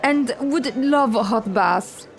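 Weary foreign bride, audio drama: high, slow, hesitant, polite. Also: female voice, vocal fry, faint music behind. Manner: hesitant, halting. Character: hesitant, travel-weary foreign newlywed woman. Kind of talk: audio fiction acting.

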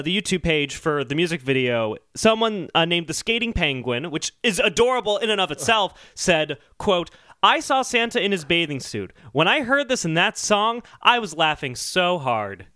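Animated, high-pitched man co-hosting a podcast. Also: normal speed, clean tone, no echo, studio quality. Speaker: podcast co-host. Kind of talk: casual comedic podcast discussion.

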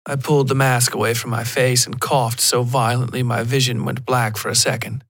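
A man's voice: medium pitch, flat delivery, moderate pace, a bit bright, no echo, studio quality. A man reading a scripted first-person horror story as the narrator of a fiction podcast.

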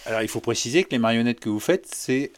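A man's voice: medium pitch, lively intonation, fast talker, dry recording. A man hosting a podcast, asking questions in a casual interview style. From England. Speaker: travel podcast host and interviewer.

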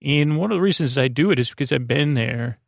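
A man with a creaky, gravelly voice rambles through a bedtime podcast monologue, halting, repeating himself and trailing off as host. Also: medium pitch, animated, no room sound, studio quality. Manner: meandering, halting, repetitive, trailing off.

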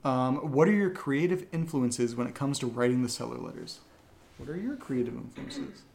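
Male co-host, medium pitch, informal Q&A delivery in short remarks. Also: big pitch swings, moderate pace, vocal fry, a little mellow. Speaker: audio drama writer, co-host reading listener questions. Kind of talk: casual Q&A chat.

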